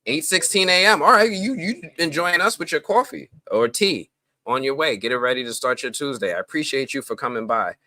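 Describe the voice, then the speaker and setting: A man hosting a live stream, chatting casually and greeting viewers in slang-heavy talk, his voice jumping up with excitement. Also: a little high, fairly quick. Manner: excitable, voice jumps up when greeting people; relaxed slang-heavy talk with many fillers.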